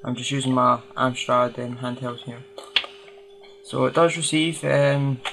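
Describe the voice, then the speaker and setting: A man's voice: mid-range voice, little pitch movement, measured pace, vocal fry, fairly bright tone. A man giving casual, unscripted hands-on commentary as he tinkers, hesitantly thinking aloud. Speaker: hobbyist restorer hosting a video. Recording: noisy home recording with clicks.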